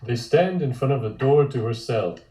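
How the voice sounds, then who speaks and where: A male narrator reads stage directions in a deliberate, descriptive way. His voice is relaxed and medium in pitch. The room adds a noticeable echo. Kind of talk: scripted narration at a table read. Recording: echoey room sound.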